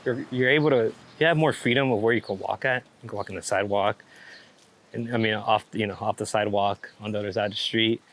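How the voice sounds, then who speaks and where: A man's voice: fairly low pitch, steady tone, fast talker, a bit bright. A man chatting casually in a laid-back, rambling way, swearing freely as he talks about his creative work as a photographer. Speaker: street photographer being interviewed. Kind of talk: casual chat.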